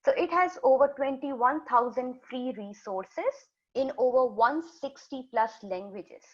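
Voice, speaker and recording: A woman whose first language is Urdu, giving a health presentation to students in a steady, explanatory way, often restarting and repeating words. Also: on the high side. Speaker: health educator presenting a webinar. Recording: dull lo-fi webinar audio.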